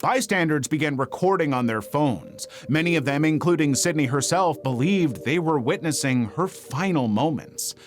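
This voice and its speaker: A man reading scripted true-crime documentary narration in a dramatic, measured storytelling manner. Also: a little high, animated. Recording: faint music bed under narration. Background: background music.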